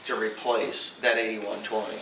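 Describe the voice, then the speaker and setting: A male farmer spins casual, folksy tales in an animated, colloquial way. His medium-pitched voice is picked up at a distance in an echoing room with clear background noise. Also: moderate pace. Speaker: farmer telling stories. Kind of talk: casual rambling storytelling among friends. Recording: roomy, distant mic, noisy background.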